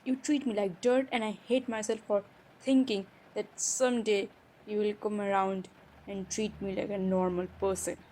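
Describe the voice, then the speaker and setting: A woman playing a hurt, defiant young college-age stepsister in an improvised audio roleplay, speaking emotionally and haltingly with sighs and pauses. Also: mid-range voice, fairly even intonation, warm tone, lightly acted, low-quality recording. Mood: hurt, bitter. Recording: faint background noise.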